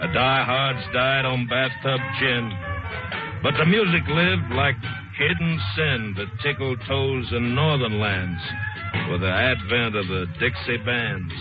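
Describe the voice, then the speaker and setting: Casting: man as hard-boiled verse narrator, slow and theatrical, mid-pitched, vintage radio over loud music. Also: lo-fi sound, lightly acted. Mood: dramatic, wistful. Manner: theatrical, slangy, rhythmic. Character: hard-boiled, romantic male jazz-age balladeer narrator. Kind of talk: old-time radio dramatic narration in rhyming jazz verse.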